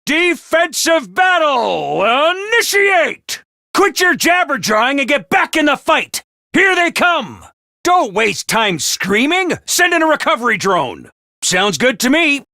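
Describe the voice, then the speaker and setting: A man voicing a brisk, ruthless academy commander in a video game, barking orders and announcements. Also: very high voice, very animated, creaky, sharp tone, no echo, studio quality, exaggerated voice. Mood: commanding. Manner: clipped orders and announcements, drill-sergeant bark. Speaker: voice actor in a game.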